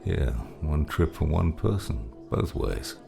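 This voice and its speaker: A man acting a gravelly, very deep, cryptic mentor. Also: very animated, takes it slowly, exaggerated voice. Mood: measured, wry. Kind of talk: dramatized audiobook dialogue. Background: background music.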